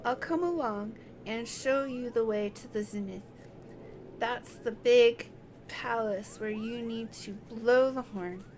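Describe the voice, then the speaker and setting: Woman voicing a small helpful moogle creature, slow-spoken. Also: fairly high pitch, darkish tone, a little hiss or hum. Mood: helpful, explaining.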